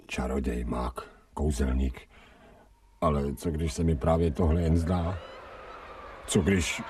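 An actor playing a vain, fawning, self-pitying stray dog musing colloquially to himself in a radio drama. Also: male voice, a bass voice, fairly even intonation, recorded from a distance, background noise. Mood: anxious musing. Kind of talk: radio drama inner monologue.

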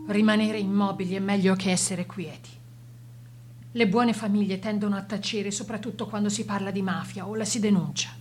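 An actress playing a grieving, defiant woman of a Sicilian victim's family, in solemn, measured, rhetorical radio drama monologue. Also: medium pitch, a little hiss or hum, lightly acted.

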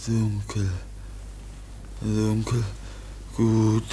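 A man playing a timid, childlike foundling youth in a radio play, who speaks in halting, broken single words. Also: on the low side, monotone, warm tone. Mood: groping, childlike. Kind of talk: German radio play acting. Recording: noisy old radio play recording.

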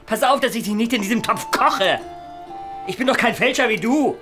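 An actor playing a wry, rough adventurer who tells his tale with dry teasing in a German radio drama. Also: very high voice, expressive, moderate pace, bright, forceful tone, faint music behind, heavily acted. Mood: mocking.

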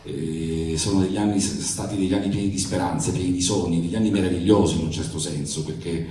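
Deep-voiced man, hesitant, very echoey room. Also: steady tone, quick pace, dark tone, a little hiss or hum. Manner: hesitant, searching for words. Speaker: author introducing his own letter. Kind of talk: informal live introduction. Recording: very echoey hall, distant mic.

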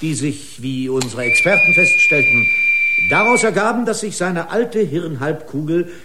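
An actor playing an indignant, officious company lawyer pleading in court with pompous, long-winded legalese in a radio drama. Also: male voice, on the high side, animated, normal speed, very noisy, lightly acted. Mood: officious, aggrieved. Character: indignant, officious corporate attorney arguing in court. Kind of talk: German radio drama acting.

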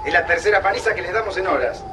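High-voiced, cocky fighter, played by a man. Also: very fast, far from the mic, heavy background noise, close to an everyday voice. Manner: boastful. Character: cocky rank-and-file resistance fighter. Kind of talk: radio drama acting.

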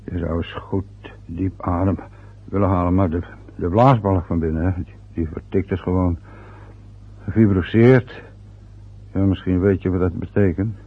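A resentful, brooding old father played by a man in a vintage radio drama. His voice is gravelly and husky. The old recording sounds muffled. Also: low voice, steady tone, normal speed. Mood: weak. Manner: bitter, brooding, short of breath later. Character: aging working-class father, bitter and ill.

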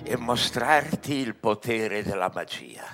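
An actor playing a sly, patient devil in a theatrical verse radio drama, speaking in a measured, insinuating way. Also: male voice, on the low side, expressive, creaky, a bit bright, soft background music, exaggerated voice. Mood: obliging, sly. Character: sly, patient male devil bargaining for a soul.

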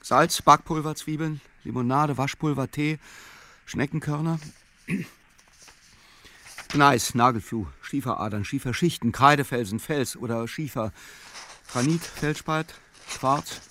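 An actor playing a brooding, solitary man who obsessively recites facts and lists in a radio play, deliberate and fragmented with hesitations. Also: medium pitch, bright, forceful tone, slight background noise. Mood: muttering. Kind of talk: literary radio play reading.

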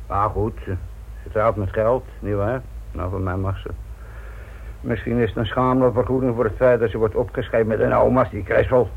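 A man's voice: on the low side, steady tone, moderate pace, creaky, husky. An actor playing a wry, teasing middle-aged police inspector in a Dutch radio play, nonchalant and colloquial in his talk. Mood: probing, wry. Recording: muffled phone-like old radio recording.

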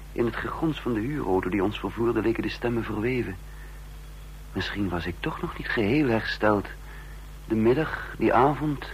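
An actor playing a haunted, confused man caught in espionage, narrating in brooding, halting fragments in a radio drama. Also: lowish voice, natural voice. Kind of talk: Dutch radio drama acting, introspective narration. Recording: noisy old radio play recording.

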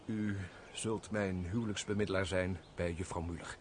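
A man acting an eager, insistent gentleman in a radio play, speaking formally and emphatically in old-fashioned theatrical Dutch style. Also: low voice, steady tone, moderate pace, a little hiss or hum, lightly acted. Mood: pleading. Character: hearty, insistent gentleman friend, eager suitor.

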